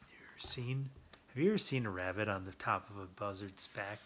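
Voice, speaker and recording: A man reading a children's story aloud with playful, drawn-out emphasis and chatty asides. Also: lowish voice, fairly bright tone, slight background noise. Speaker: children's story reader and host.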